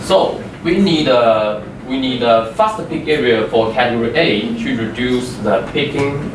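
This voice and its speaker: A man's voice: medium pitch, lively intonation. Young man presenting in an echoey room. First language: Chinese. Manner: halting, repeats words while explaining slides. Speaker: student presenter. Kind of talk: class project presentation. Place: classroom with a projector screen, indoors. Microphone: far room microphone. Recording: echoey room from far off, noisy.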